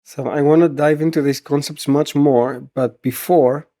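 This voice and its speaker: A man hosting a podcast, asking relaxed, curious interview questions. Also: a little high, normal speed. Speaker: podcast host.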